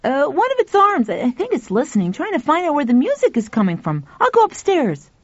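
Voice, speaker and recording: A woman voicing an excitable, cheerful young boy in a storybook reading, pitching her voice high and eager. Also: brisk, no echo. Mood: excited. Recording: dull, dry lo-fi audio.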